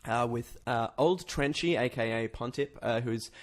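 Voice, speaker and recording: A man's voice: medium pitch, normal speed. Casual male podcast host, informal and slangy. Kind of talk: casual pop culture chat.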